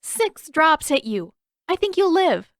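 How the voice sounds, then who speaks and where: A stubborn teenage sister, as a woman narrator plays her, answers back with teasing sarcasm. The voice sits high and prickly, in a dry, close storybook recording. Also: sing-song, slow, no echo, heavily acted. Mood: irritated retort.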